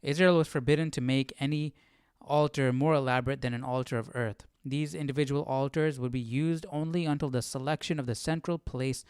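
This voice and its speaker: A man with a clean, resonant, mid-pitched voice hosts a casual scripture study. He reads a little, then thinks aloud in an informal, questioning manner, with very dry, close sound. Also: fairly even intonation, fairly quick, few pauses, smooth, no echo. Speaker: Bible study livestream host.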